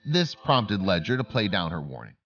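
A man's voice: low-pitched, sing-song, normal speed, a bit bright, muffled recording. A man narrating a scripted documentary-style story as a voice-over.

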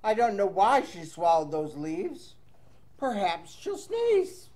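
A man reads a picture book aloud for children at an unhurried pace. His pitch moves up and down freely in a playful sing-song. Between lines he chats casually with his young listeners. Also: wildly swooping pitch, darkish tone. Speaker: children's storybook reader. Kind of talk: animated read-aloud to children with casual asides.

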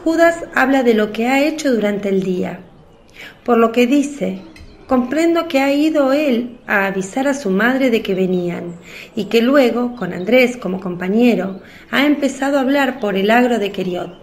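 A woman's voice: a little high, expressive, moderate pace, a little hiss or hum. A woman reading scripted narration for a religious radio drama.

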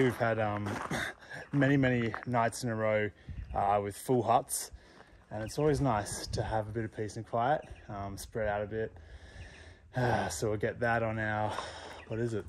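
A man's voice: mid-range voice, noisy background. A young Australian man vlogging casually to camera while out on a bush hiking trail, upbeat and chatty. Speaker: hiker vlogger. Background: music.

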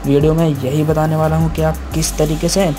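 A man's voice: fairly high pitch, flat delivery, a little fast. A man with an Indian accent giving a step-by-step tech tutorial as a host, addressing viewers as friends in a repetitive way. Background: background music.

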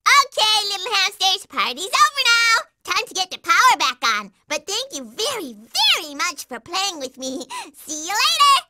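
A woman voicing a cute, sweet-natured little girl in a cartoon video game, squeaky and childlike, with a singsong, gushing manner. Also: big pitch swings, moderate pace, few pauses, background noise. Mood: cheerful.

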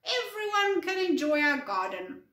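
A British woman voicing a gentle, practical male gardening animal in a storybook, earnest and unhurried. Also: fairly high pitch, big pitch swings, reverberant. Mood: content.

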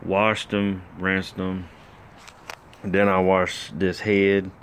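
A man's voice: sits low, little pitch movement, very slow, a bit bright, a little hiss or hum. A middle-aged man filming his own engine work with a phone, talking through each step in a plainspoken, rambling way with lots of fillers. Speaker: home mechanic filming a rebuild. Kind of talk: casual how-to commentary. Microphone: handheld phone camera.

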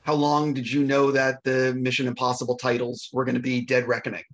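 A man interviewing an actor over a video call, asking quick, friendly questions in casual slang. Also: medium pitch, monotone, a bit bright, lo-fi sound.

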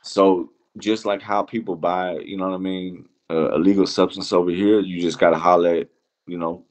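Deep-voiced Iranian-born man, halting and slangy. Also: steady tone. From Iran. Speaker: interview guest. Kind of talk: casual chat.

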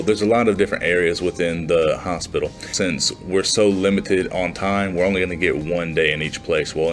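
A man in his twenties talking informally and conversationally to camera, like a student vlogger in a small room. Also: low voice. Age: 20s. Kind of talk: casual vlog talk to camera. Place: small plain indoor room.

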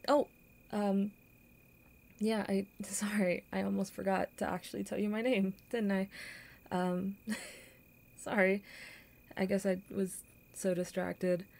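A young woman playing a kind, awkward popular girl in an audio roleplay, with halting, stammering, flustered delivery. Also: medium pitch, little pitch movement, creaky, darkish tone. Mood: shy, caring. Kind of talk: intimate audio roleplay acting.

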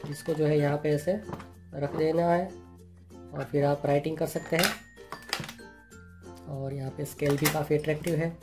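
A man's voice: fairly high pitch, flat delivery, moderate pace, pauses often. A man hosting an unboxing and review, talking through each item in plain explanatory Hindi full of repeated "jo hai" fillers. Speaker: channel host reviewing products. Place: indoors at a table. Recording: clear music playing underneath.

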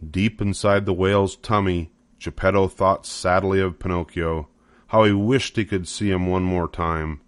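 An older man reads a children's story as its narrator. His voice sits fairly low and is recorded close and dry, with scripted storybook phrasing. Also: steady tone, moderate pace, clean tone, no room sound.

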